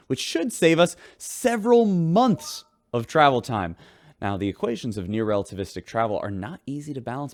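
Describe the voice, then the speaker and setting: An actor playing a chirpy radio host aboard a spaceship, with a polished, folksy announcer delivery in a scripted broadcast. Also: male voice, medium pitch, no echo, studio quality, lightly acted.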